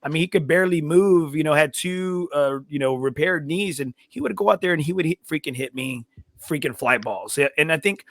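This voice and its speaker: A man's voice: a little high, fairly quick, fairly bright tone, dry recording, clean studio sound. A man in his forties, a guest and coach, talking bluntly and casually with some swearing. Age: 40s. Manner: blunt, unfiltered, swears freely. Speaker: podcast guest. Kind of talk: casual chat.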